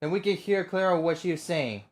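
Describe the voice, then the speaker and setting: A streamer giving casual livestream game commentary in a slangy, excitable way. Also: mid-range voice, a little fast, background noise.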